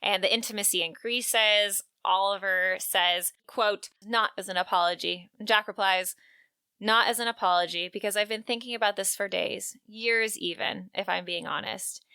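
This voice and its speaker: A woman co-hosting a podcast, recapping a book and reading out quotes with measured, wry storytelling. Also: mid-range voice, fairly even intonation, sharp tone, clean tone, no room sound. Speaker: podcast co-host.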